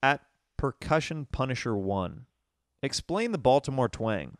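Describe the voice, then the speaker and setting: A man in his thirties hosting a podcast, telling a story in a casual, animated way. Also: medium pitch, measured pace, no echo, studio quality. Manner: animated storyteller, mimics voices in quotes. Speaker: podcast host.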